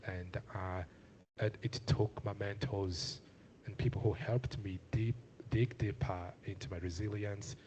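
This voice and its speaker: A man's voice: lowish voice, steady tone, unhurried, mellow, lo-fi sound, slight background noise. A young man from Zimbabwe speaking on a panel, earnest and hesitant with many filler sounds. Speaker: community panelist. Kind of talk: panel talk.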